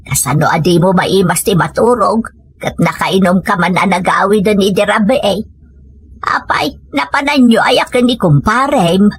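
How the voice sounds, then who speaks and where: A woman's voice: medium pitch, normal speed, breathy edge, dry recording. A Filipino woman acting a hardworking village family woman in an Ilocano audio comedy drama. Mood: nagging.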